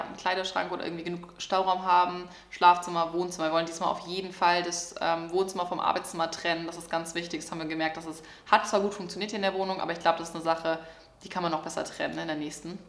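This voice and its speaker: A woman in her twenties from northern Germany, chatting excitedly with many fillers in a casual vlog. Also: lowish voice, racing, echoey room. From Germany, Lower Saxony near Hamburg. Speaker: vlogger. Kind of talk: casual life update vlog. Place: apartment room.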